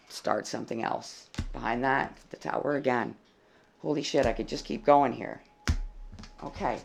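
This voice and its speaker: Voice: mid-range voice, gravelly, slight background noise. A tarot reader giving a casual, blunt reading with a dry, froggy voice and some swearing. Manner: blunt and plain-spoken, swears freely.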